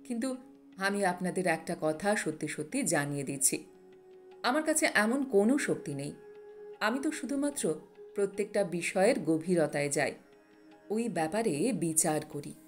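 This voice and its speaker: A woman voicing a wise, calm male monk who counsels earnestly in a storybook narration. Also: fairly low pitch, moderate pace, soft background music, lightly acted. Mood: earnest, instructive.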